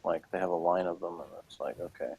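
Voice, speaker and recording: A man's voice: low-pitched, steady tone, quick pace, darkish tone, slightly rough, low-quality recording. A man co-hosting a review show in casual chat, with a flippant, joking way of talking.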